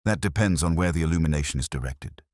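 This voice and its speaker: Man reading as a guarded, deep-voiced Victorian lady. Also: fast, darkish tone, no echo, studio quality. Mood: guarded, composed. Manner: guarded, formal, evasive. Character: guarded, composed upper-class Victorian woman, the niece. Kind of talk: audiobook character dialogue.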